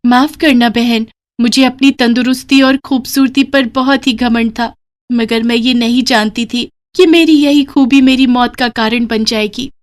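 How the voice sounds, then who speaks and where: A woman voicing a vain, boastful female goat in an expressive animated storybook style. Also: a little high, measured pace, pitched above the performer's own voice, natural voice. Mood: remorseful. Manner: proud, expressive cartoon delivery.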